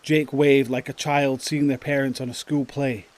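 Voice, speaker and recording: A man's voice: medium pitch, flat delivery, normal speed. A man narrating a scripted audio drama story.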